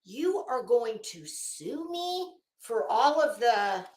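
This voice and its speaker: Woman with a high voice doing a blunt sweary rant, slow and halting, kinda echoey room. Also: very animated, a little mellow, a little hiss or hum. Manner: blunt, profane, emphatic, halting with drawn-out words. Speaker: online commentary host. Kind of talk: casual rant commentary addressed to viewers. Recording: roomy echo.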